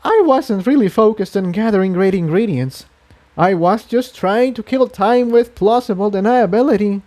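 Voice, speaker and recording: A man's voice: high voice, normal speed, darkish tone, pitched above the performer's own voice, lightly acted. A man voicing an anxious, self-doubting female pony in a storybook reading, speaking earnestly and fretfully. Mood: sincere.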